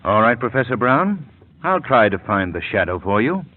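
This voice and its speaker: A man playing an urbane, wry gentleman detective in an old radio drama, with polished, genial speech. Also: mid-range voice, sing-song, moderate pace, lightly acted. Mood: guarded, polite. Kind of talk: 1940s American radio acting. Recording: tinny old radio recording.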